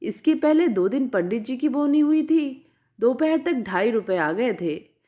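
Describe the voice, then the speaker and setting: An apologetic Indian woman shopkeeper selling betel leaf, as a female storyteller puts her on. She is superstitious and pleads deferentially in a fairly high, animated voice, as a character in a storybook tale. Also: unhurried. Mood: apologetic, explaining.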